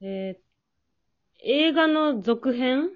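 A woman's voice: high-pitched, lively intonation, very slow. A woman chatting casually as a guest in a round-table talk about amateur acting. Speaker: amateur drama performer, guest. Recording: dull lo-fi audio.

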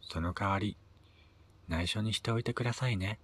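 A man's voice: on the low side, normal speed, breathy edge. A man voicing a polite, evasive landlord in a story reading, with a knowing, secretive manner.

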